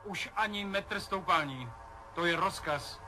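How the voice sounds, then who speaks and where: A high, piercing commander's voice, urgent and insistent, snapping short orders with music faintly behind. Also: male voice, pushed and bright. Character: urgent flight commander ordering a pilot back. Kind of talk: 1970s radio drama acting.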